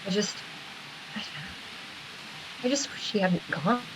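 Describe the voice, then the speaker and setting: A woman playing a troubled, guilt-ridden wife in a comic table read, speaking in a halting, emotional way. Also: mid-range voice, animated. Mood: anguished. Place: video call. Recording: noisy online call with rain-like hiss.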